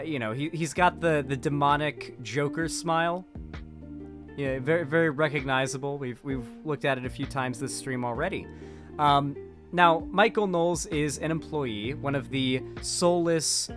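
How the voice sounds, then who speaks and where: A man's voice: mid-range voice, fairly bright tone. A young man in casual streamed political commentary, rambling and sarcastic, full of fillers and restarts.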